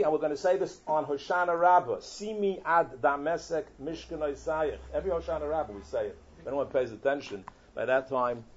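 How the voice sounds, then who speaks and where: Husky-voiced man giving a lively Torah sermon. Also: fairly high pitch. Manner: animated teaching, heavy Hebrew and Yiddish terms. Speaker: rabbi. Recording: dull lo-fi recording.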